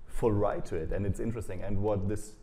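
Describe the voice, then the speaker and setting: A man in his forties hosting a studio interview, asking calm questions and murmuring along as his guest answers. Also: on the low side, fairly quick. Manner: calm, questioning, with frequent soft backchannel murmurs. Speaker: host. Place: small indoor studio.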